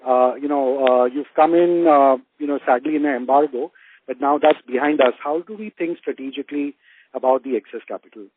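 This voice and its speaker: A man asking questions as an analyst on an earnings call, speaking in a hesitant, halting way. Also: mid-range voice, a little hiss or hum. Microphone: phone conference call. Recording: tinny narrowband phone line.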